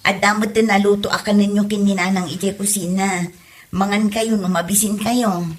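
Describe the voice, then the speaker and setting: A woman's voice: medium pitch, level tone, normal speed, close to an everyday voice. A woman playing a dutiful, caring grown daughter with an Ilocano accent in a comedy drama. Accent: Filipino, Ilocano.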